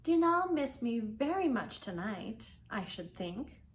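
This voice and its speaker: A woman's voice: fairly high pitch, sing-song, slow, slight background noise. A woman voicing a curious, chatty little girl who wonders aloud to herself in an earnest way, in a storybook reading. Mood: wistful.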